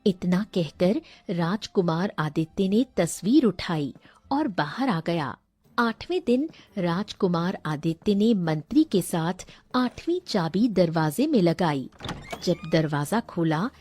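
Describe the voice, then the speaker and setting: A woman's voice: fairly low pitch, big pitch swings, slow pace, darkish tone, no room sound. A woman narrating a scripted fairy tale for a cartoon as a storyteller.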